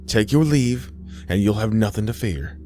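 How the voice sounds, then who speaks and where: A man's voice: on the low side, animated, moderate pace, a little mellow, lightly acted. A man voicing a frail, stubborn dying Southern woman in a horror story, with strained, plain-spoken delivery. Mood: defiant. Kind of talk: acted storybook dialogue. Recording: faint music underneath.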